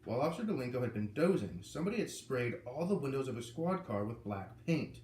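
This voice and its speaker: A man reading a children's novel aloud, lively and putting on voices for the characters. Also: mid-range voice, quick pace, recorded from a distance. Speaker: reader. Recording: echoey room with faint ambient noise.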